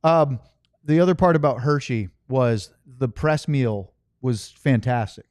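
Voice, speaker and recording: A man's voice: mid-range voice, measured pace, darkish tone, dry recording, studio quality. A middle-aged man hosting a casual sports podcast, chatty and full of anecdotes. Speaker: podcast host and sports broadcaster.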